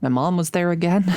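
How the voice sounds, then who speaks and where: A performer playing a nervous, joking girlfriend in an intimate ASMR audio roleplay, speaking haltingly and confessionally, with lapses into whispering. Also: medium pitch, darkish tone, no echo, close to an everyday voice. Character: nervous, joking girlfriend confiding before becoming a vampire.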